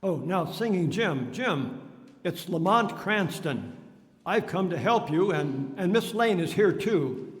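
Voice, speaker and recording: A man playing a composed, concerned gentleman amateur detective in old-time radio drama style. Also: high-pitched, expressive, takes it slowly. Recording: echoey hall.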